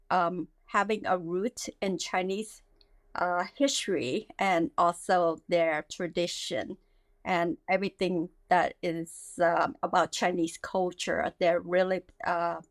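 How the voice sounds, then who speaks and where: A woman, a guest speaking haltingly with many fillers in a casual interview. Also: fairly low pitch, dry recording. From Vietnam. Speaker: guest, skincare founder.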